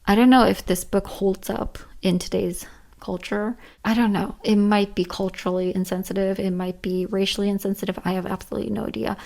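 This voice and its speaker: A woman with a tired, hoarse voice talks casually and earnestly through a list of favorites, repeating words for emphasis. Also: medium pitch, steady tone, a little fast, darkish tone. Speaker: book reviewer, channel host. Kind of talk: casual list-style book and manga chat.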